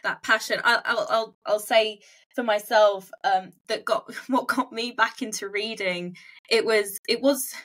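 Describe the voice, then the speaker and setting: A woman's voice: fairly high pitch, steady tone, a little slow, fairly bright tone. A woman hosting a casual interview, often repeating words as she forms her questions. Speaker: podcast host interviewing an author-platform founder.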